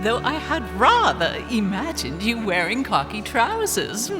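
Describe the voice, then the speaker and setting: A woman's voice: fairly high pitch, wildly swooping pitch, measured pace. A woman playing an effusive, breathlessly eager upper-class admirer with prim diction in a 1930s adventure audio drama. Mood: thrilled. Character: gushing, starstruck, aristocratic female adventure fan. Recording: music behind the voice.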